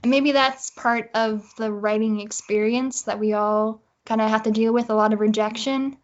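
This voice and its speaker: Woman, high-ish pitch, casual interview guest, self-mocking, quotes her own thoughts, dulled audio. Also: fairly even intonation, moderate pace. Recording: dull, lo-fi audio.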